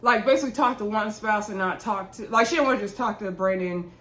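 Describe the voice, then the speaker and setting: A woman's voice: mid-range voice, quick pace, fairly bright tone. A woman giving a casual, chatty recap of a TV episode as a vlog host, conversational and opinionated, talking straight to her viewers. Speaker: YouTube reality show recap host. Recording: roomy, echoey indoor sound.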